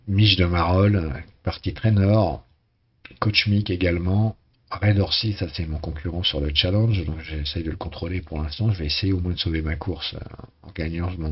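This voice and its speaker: A hoarse man casually commenting on a sailing race game, talking with many hesitations and restarts. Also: deep voice, lo-fi sound.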